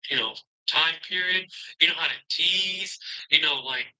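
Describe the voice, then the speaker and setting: A young man giving a halting testimonial about a coaching course, filling gaps with "uh" and "you know". His voice is very bright and sharp and sits a little high. He sounds plain and unpolished. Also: poor audio. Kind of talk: casual testimonial.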